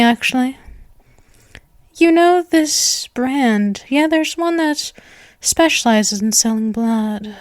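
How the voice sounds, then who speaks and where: Woman acting a slow, doting vampire girl, high-voiced. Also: no echo, clean studio sound.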